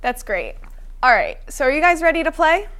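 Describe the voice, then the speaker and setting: A woman's voice: sits high, very animated, moderate pace, fairly bright tone. A young woman hosting a college studio quiz show, upbeat and a little unpolished, with fillers and restarts. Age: young adult. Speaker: game show host.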